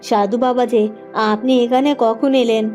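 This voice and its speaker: A woman's voice: fairly high pitch, fairly even intonation, moderate pace, a little mellow, soft background music, lightly acted. A woman voicing a meek, polite, dutiful young farmer's wife in a cartoon fairy tale.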